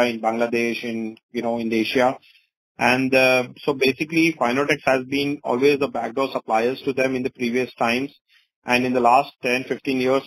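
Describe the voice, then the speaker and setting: Husky-voiced Indian businessman. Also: mid-range voice, rough recording. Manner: wordy, repeats "you know" often. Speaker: company executive. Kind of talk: answering investors on an earnings call.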